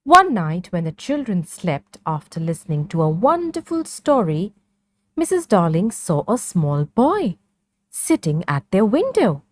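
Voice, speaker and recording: A woman narrating a scripted children's story in a measured, clearly phrased read-along manner. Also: lowish voice, very animated, no room sound.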